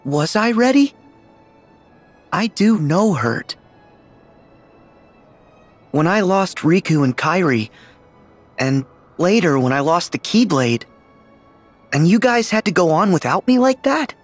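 A man's voice: high-pitched, expressive, slow pace, pauses often, faint music behind, exaggerated voice. A man playing an upbeat, sincere teenage boy hero in a video game, with a youthful voice.

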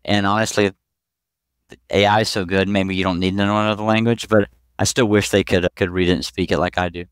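A man's voice: sits low, little pitch movement, fast talker, clear, resonant tone, dry recording. A man in his forties giving informal, conversational expert explanations with everyday examples, speaking into a headset on a video call. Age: 40s. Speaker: pediatric urologist, podcast guest. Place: small clinic office, video call. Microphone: headset boom mic.

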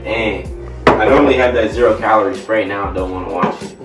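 Low-voiced young man vlogging in his kitchen. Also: brisk. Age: young adult. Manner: laid-back, chatty, talks to viewers while cooking. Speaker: fitness vlogger. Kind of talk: casual cooking vlog. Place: home kitchen, indoors. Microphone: camera set on the counter, a few feet away. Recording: distant camera mic, music behind.

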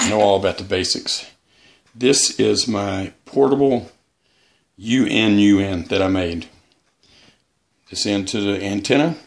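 A man's voice: fairly low pitch, gravelly, fairly bright tone. Plainspoken man explaining radio gear. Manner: plainspoken, with frequent restarts and "uh" pauses. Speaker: radio hobbyist demonstrating antennas. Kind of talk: casual how-to explanation. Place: indoors at home.

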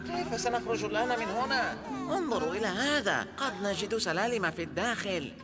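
An earnest, anxious young boy from a children's TV show, dubbed by a woman. The voice sits at an ordinary middle pitch, steady and sincere. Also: fairly quick, loud music behind the voice, lightly acted. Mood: worried.

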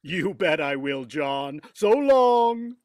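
A woman's voice: low-pitched, lively intonation, slow pace, darkish tone, slight background noise. A woman playing a firm, wry, long-suffering father in a 1940s radio comedy. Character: exasperated, firm father of a mischievous girl.

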